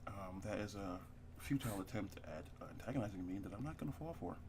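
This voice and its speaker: A man's voice: fairly low pitch, expressive, fast, heavy background noise. A man hosting a casual comedy podcast, rambling with many fillers and restarts and laughing at his own jokes. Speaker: podcast host.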